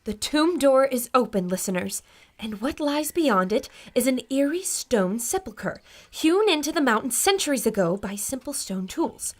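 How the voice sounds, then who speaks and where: A woman playing a high-voiced radio reporter. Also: lively intonation, normal speed. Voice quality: vivid, descriptive reporting. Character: female radio reporter narrating the adventure to listeners. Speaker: narrator.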